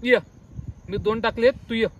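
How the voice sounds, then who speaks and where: A man's voice: very high-pitched, fairly even intonation, moderate pace, a bit bright. A young man on a casual prank call, pleading and rambling as though drunk and heartbroken. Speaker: prank caller, vlogger. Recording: noisy amateur phone-shot vlog audio.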